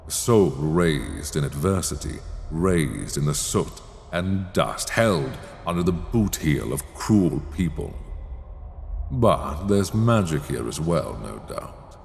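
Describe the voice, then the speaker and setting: Man acting a deep, slow, menacing sorcerer professor. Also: very animated, slight background noise, heavily acted. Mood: probing, condescending, then intrigued, ominous. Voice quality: low, creaky. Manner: deliberate, condescending, silky, teasing, formal diction. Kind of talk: slow dark fantasy ASMR roleplay acting. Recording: long cavernous reverb.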